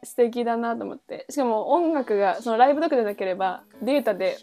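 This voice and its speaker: A woman with a lightly high-pitched voice co-hosts a casual podcast. She talks like she is with a friend, slangy and animated in her reactions. Soft music plays behind her. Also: fast. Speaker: podcast co-host. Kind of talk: casual chat between friends. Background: background music.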